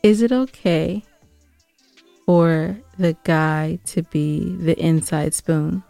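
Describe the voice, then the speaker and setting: A woman's voice: low-pitched, steady tone, slow pace, creaky, dark tone, no echo, faint music behind. A woman co-hosting a podcast in casual, playful conversation, teasing her partner. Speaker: podcast co-host. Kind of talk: casual bantering chat.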